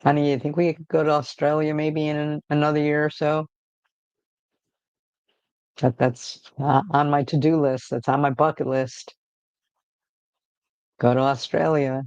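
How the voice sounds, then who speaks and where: A man hosting a casual livestream, rambling in a relaxed way with frequent fillers and restarts, talking from home. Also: fairly high pitch, measured pace, pauses often, clear, resonant tone, no room sound, dull audio. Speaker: livestream host.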